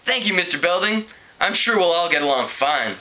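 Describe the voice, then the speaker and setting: Storybook part: cool, assured young female substitute read by a man, upbeat, sharp bright tone, raised pitch. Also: expressive, moderate pace, exaggerated voice, rough recording. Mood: breezy greeting. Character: young, hip, breezy, confident female home ec substitute.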